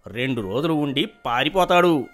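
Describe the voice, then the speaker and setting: A man's voice: a little high, lively intonation, normal speed, a bit bright. A man playing a greedy, jealous, shifty village schemer in a folk tale. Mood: sneering, scheming. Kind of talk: acted folk tale dialogue.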